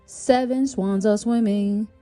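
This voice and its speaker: A woman reading a children's Christmas verse aloud in a sing-song, drawn-out, rhythmic way. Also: mid-range voice, fairly even intonation, dark tone. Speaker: children's storybook reader. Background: background music.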